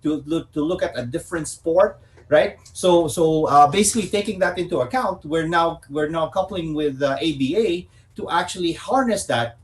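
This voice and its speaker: A man with a Filipino accent talking casually as an interview guest, halting and repeating himself, often checking in with tag questions. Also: fairly high pitch. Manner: halting, repetitive, frequent "you know" and "right?". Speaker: guest, bobsled team organiser.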